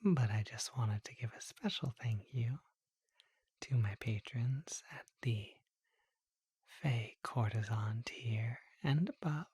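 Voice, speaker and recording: An ASMR creator speaking to listeners in a very soft, breathy whisper. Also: mid-range voice, slow, no room sound.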